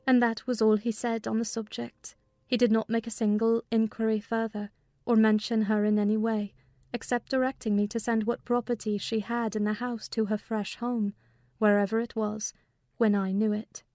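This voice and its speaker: Even-toned woman narrating a novel. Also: on the high side, moderate pace, dry recording, clean studio sound. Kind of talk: scripted audiobook narration.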